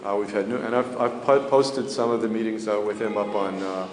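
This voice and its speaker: A man, a community spokesman answering journalists' questions in a measured, matter-of-fact way, pausing to search for words. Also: on the low side, a crowd in the background. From United States. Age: 50s. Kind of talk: press interview answers. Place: indoor hall. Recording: echoey hall, faint ambient noise.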